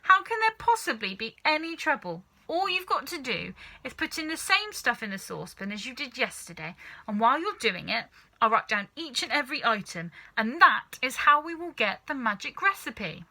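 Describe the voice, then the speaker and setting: Woman voicing a manic, excitable storybook dad, wildly swooping. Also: high-pitched, pitched above the performer's own voice, exaggerated voice. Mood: eager. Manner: exclaiming, urgent, swooping emphasis with drawn-out words. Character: manic, excitable middle-aged father, a farmer dreaming of riches. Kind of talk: children's storybook character reading.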